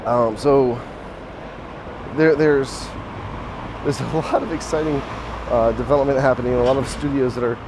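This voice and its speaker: A hoarse man hosting a podcast, talking casually while walking outdoors, rambling with restarts and small chuckles. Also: medium pitch, steady tone, normal speed, long pauses. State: hoarse, voice mostly shot. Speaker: podcast host. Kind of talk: casual walk-and-talk monologue. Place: outdoors on a city street. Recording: faint outdoor street noise. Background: street and traffic noise.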